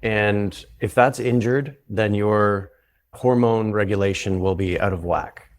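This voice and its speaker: Man, Canadian accent, low voice, relaxed interview guest, explanatory. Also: a little slow. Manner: relaxed, explanatory, with frequent restarts. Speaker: guest, psychedelic retreat founder. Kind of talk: conversational interview.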